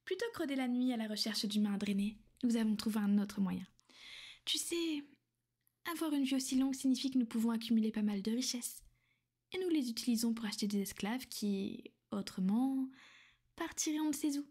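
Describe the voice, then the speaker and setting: A woman playing a gentle but possessive vampire noblewoman, speaking softly and often in a whisper for an ASMR roleplay. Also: a little high, brisk. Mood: calm, matter-of-fact. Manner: soft, soothing, often whispered.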